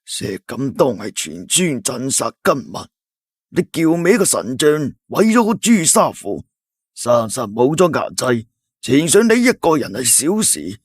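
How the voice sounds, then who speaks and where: A man voicing a stern old male village elder who scolds gravely, in a husky voice with a Cantonese accent. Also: mid-range voice, very animated, measured pace, no echo, studio quality, pitched above the performer's own voice. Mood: scolding.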